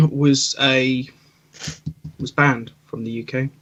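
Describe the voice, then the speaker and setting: A man chatting casually and hesitantly, pausing between short phrases. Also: mid-range voice, bright, forceful tone, rough recording. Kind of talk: casual chat.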